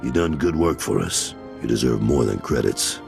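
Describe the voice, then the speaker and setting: An older man voicing a gruff, blunt crime boss and proud father in a video game, with a gravelly voice. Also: animated, moderate pace, heavily acted. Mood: approving. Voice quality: very deep, gravelly. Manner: blunt, tough-talking, paternal. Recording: music behind the voice.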